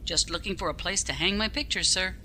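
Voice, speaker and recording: Casting: a woman as a no-nonsense female starship captain, sharp and authoritative, slightly low register, audio drama with background hum. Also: brisk. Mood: formal, measured. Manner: clipped, formal, authoritative. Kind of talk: scripted science fiction audio drama acting. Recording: steady background ambient noise.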